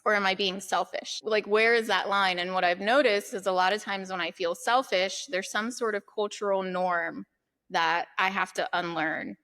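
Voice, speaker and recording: Woman author guest, bright forceful tone, open and frank. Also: mid-range voice. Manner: candid, laughs easily. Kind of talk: casual interview chat.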